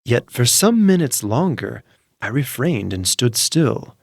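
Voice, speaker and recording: A man narrating a dark story in a dramatic, slow reading, dropping to hushed murmurs and rising to sudden intense outbursts. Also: medium pitch, sing-song, creaky, no echo, studio quality. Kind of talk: dramatic audiobook reading for sleep.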